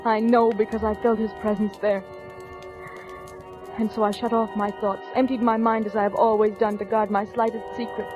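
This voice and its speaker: An actress playing an anxious, haunted wife in a 1940s radio suspense drama, with intense, dramatic delivery. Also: female voice, medium pitch, flat delivery, moderate pace, natural voice. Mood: haunted, dread. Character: anxious, haunted vaudeville wife longing for divorce. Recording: dull old radio recording with music.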